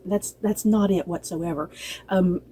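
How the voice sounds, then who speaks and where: A woman with a soft-edged, mellow voice talks casually to her viewers as a review host. She rambles in a chatty, unscripted way and doubles back on her points. Faint background noise sits behind her. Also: fairly low pitch, steady tone, a little slow. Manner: chatty, rambling, circles back and repeats herself. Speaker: host of a book and film review channel.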